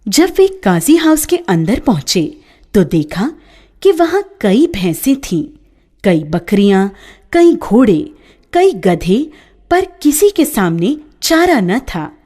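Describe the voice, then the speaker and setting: A woman narrating a children's story in a scripted storybook style, with an expressive, lilting delivery. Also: medium pitch, takes it slowly.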